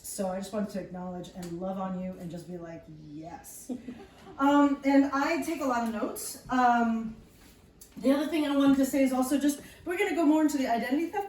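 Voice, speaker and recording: A woman's voice: medium pitch, darkish tone. A woman speaking enthusiastically to a live group as a presenter, laughing often. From United States. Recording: roomy, distant mic, some noise.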